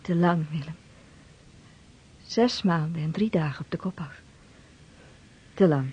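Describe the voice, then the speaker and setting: A woman playing the anxious, persistent wife of a seafarer in a radio drama, with a breathy, hushed voice. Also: deep voice, a little slow, dull audio, heavily acted. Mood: hushed, reproachful.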